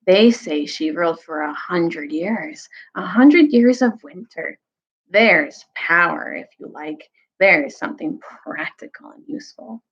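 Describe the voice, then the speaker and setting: A woman's voice: fairly low pitch, lively intonation, unhurried, slightly rough, highs cut off, exaggerated voice. A woman voicing a bitter male dwarf rebel in a storybook reading, scornful, snappish and argumentative. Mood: cold, scornful.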